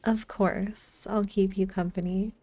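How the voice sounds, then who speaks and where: A woman streaming a game, chatting casually and reacting softly in a cooing, unhurried way, close to the microphone. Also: mid-range voice, little pitch movement, faint music behind.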